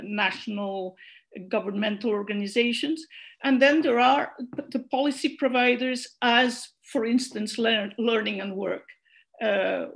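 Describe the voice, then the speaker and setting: A woman's voice: on the high side, gravelly. A woman whose first language is Catalan, giving a hesitant conference presentation over a video call with many fillers and restarts. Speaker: conference speaker, network secretary general.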